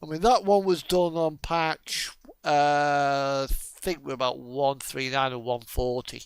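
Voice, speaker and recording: A man's voice: on the high side, fairly even intonation, measured pace, clean tone, dry recording. A man chatting casually in a rambling, self-amused way as he talks through his video gaming. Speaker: video game streamer.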